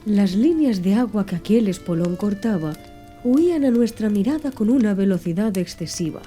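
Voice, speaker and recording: A woman narrating an audiobook in a soft, slow, hushed ASMR style. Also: mid-range voice, animated. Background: faint background music.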